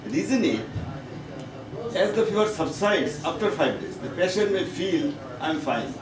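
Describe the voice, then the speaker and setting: Echoey, higher-pitched Bangladeshi man lecturing. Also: lively intonation, measured pace, a crowd in the background. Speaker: medical professor, conference speaker. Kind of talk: conference lecture. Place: conference meeting room. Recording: echoey, noisy room, distant microphone.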